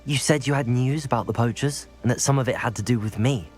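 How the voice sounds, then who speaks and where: A voice actor playing a calm, polite young wizard student, in video game dialogue. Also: medium pitch, fast, faint music behind, lightly acted. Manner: calm, polite, dryly witty.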